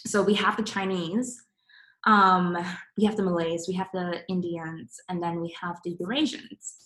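A young woman from Singapore hosting a casual interview, chatty and quick to restart her sentences. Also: fairly low pitch, flat delivery, fairly bright tone. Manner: chatty, restarts often, many fillers. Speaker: podcast host. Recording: echoey room.

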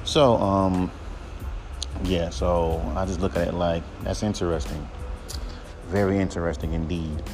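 A man with a deep voice hosting a podcast, talking bluntly and profanely in a casual solo rant. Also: fairly even intonation, unhurried, long pauses, over music. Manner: blunt, profane, mocks others in high mimicking voice. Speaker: podcast host.

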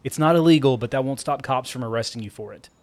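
A man's voice: mid-range voice, fast talker, darkish tone, lightly acted. A male reader plays a young man on the run in an audio story. He is terse and instructing, with a kind streak under the curt manner. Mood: quiet, firm.

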